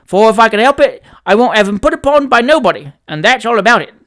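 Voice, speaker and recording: Cheeky young servant guy put on by the reader, bossy and wordy, drops his letters, bit louder and higher. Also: very animated, fast talker. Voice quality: dropped-letter working-class dialect. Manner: dogmatic, forceful, wordy. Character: cheeky young male manservant, stubborn.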